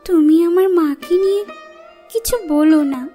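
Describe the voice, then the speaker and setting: A young cartoon girl, voiced by a woman, very high and childlike. She speaks plaintively, whining and pleading, over soft music. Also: moderate pace. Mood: sorrowful, pleading. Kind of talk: cartoon fairy-tale voice acting.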